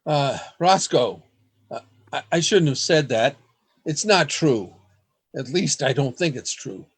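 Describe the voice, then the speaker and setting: An actor in a radio play playing an earnest, desperate man in love, with a husky voice and a dramatic, pleading delivery. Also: mid-range voice, animated, unhurried. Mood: anxious. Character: anguished lovesick man, earnest, desperate, hiding among others.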